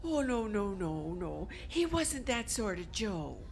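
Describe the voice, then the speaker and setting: A woman's voice: medium pitch, very animated, slow pace, vocal fry, faint music behind, heavily acted. A woman playing an anxious, gushing department-store manager in an old-time radio drama, with an imploring, flustered manner. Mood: worried.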